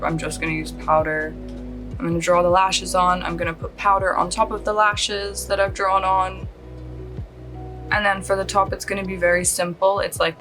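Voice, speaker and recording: A fairly low-pitched woman from Australia, her accent mostly Californian with Australian sounds slipping back in. She rambles casually through a makeup tutorial in her late thirties, joking at her own expense and laughing often, with faint guitar music behind her. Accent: mostly Californian with some Australian coming through. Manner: chatty, rambling and self-deprecating, with frequent laughs. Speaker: beauty vlogger. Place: at home, lit by a close lamp.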